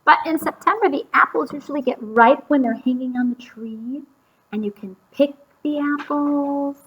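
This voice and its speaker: A woman reading a story aloud to young children, pausing to explain and ask them simple questions. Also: sits high, lo-fi sound.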